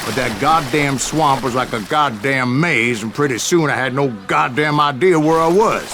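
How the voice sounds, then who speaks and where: An actor playing a gruff old gunslinger in a video game, telling tall tales in a salty, folksy American Western drawl. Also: male voice, medium pitch, normal speed, sharp tone, soft background music. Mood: salty, exasperated. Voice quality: gravelly.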